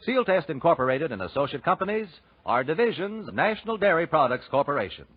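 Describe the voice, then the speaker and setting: Animated, husky male radio announcer. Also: a little high, fast talker. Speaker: announcer, commercial spokesman. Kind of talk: scripted 1940s radio announcing. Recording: muffled 1940s radio broadcast, music.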